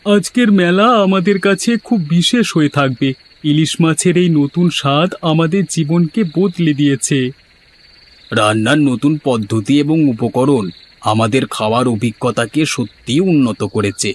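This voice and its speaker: An earnest village organiser in a cartoon, acted by a man, with a slightly high voice. He speaks formally, as if addressing a gathering, over faint noise with insect chirps. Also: moderate pace, natural voice. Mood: proud, grateful. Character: earnest male villager who organises a village fish fair. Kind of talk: scripted cartoon dialogue.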